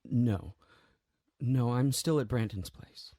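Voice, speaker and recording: An actor playing a grieving, guilt-ridden man in an audio drama, speaking in a halting, hushed, earnest way with a husky voice. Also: medium pitch, no echo, clean studio sound, close to an everyday voice. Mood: tender, subdued.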